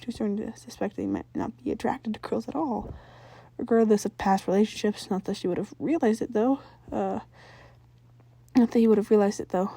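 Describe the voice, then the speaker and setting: Mellow woman reading a story aloud. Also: fairly high pitch, breathy edge, dry recording. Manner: stumbles over words and rereads them. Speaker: amateur fan-fiction reader. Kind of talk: scripted story narration, read aloud.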